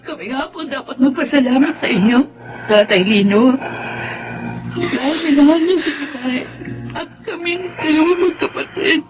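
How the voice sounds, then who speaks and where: A woman's voice: high-pitched, normal speed, pauses often, close to an everyday voice, rough recording. A woman playing a tearful, grateful grown daughter in a Filipino radio drama. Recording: music under the voice.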